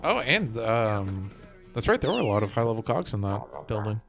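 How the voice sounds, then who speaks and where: A man's voice: on the low side, very animated, normal speed, vocal fry. A man streaming a game, chatting casually and thinking aloud to his viewers. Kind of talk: casual chat while playing a game. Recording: music behind the voice.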